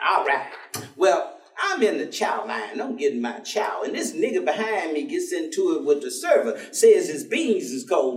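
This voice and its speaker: A warm American ex-con preacher on stage, folksy and slangy. His high voice swings widely as he teases and spins stories. He is heard from a distance in an echoey theatre. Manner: folksy slangy drawling storyteller, teasing, chuckling. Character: warm, streetwise ex-convict man turned preacher, stubbornly cheerful.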